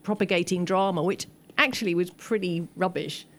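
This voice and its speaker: A woman's voice: on the low side, moderate pace. A woman speaking as an expert guest on a film discussion panel, explaining things enthusiastically and discursively. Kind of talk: post-screening panel discussion.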